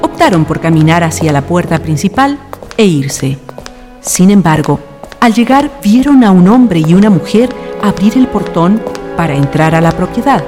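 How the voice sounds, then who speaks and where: Low-voiced woman narrating over music. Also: lively intonation, moderate pace. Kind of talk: scripted radio drama narration.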